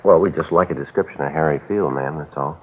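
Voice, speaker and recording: An actor playing a terse, matter-of-fact police sergeant who narrates his case in a clipped, deadpan way. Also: rumbling low, quick pace, breathy edge. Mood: questioning. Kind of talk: 1950s radio drama acting. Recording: tinny old radio with faint music.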